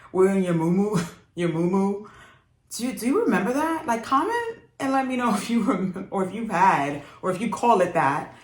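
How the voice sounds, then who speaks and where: A woman's voice: medium pitch, big pitch swings, normal speed. A young woman from Jamaica, raised in New York, chatting casually to camera about fashion, laughing often and using lots of fillers. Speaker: fashion vlogger. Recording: echoey room.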